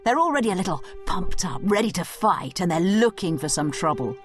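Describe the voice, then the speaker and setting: Animated, lower-pitched woman explaining. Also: a little fast, faint music behind. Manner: explanatory, clearly spelling out points. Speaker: study guide narrator and commentator. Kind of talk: scripted educational commentary.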